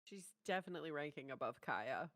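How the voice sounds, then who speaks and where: Animated, gushing woman reacting. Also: low-pitched, brisk. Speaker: reaction show co-host. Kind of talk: casual chat, reacting and ranking. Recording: dry close voice, music behind.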